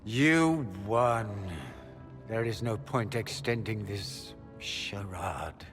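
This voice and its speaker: A man's voice: lowish voice, expressive, very slow, fairly bright tone, faint music behind, heavily acted. An actor playing a defeated, grieving father and fallen mage in a video game, weary and resigned. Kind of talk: video game cutscene acting.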